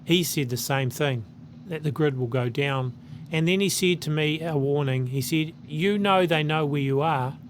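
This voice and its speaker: A man's voice: fairly high pitch, moderate pace, slight background noise. A man with a New Zealand accent, hosting his channel and earnestly reading a letter aloud.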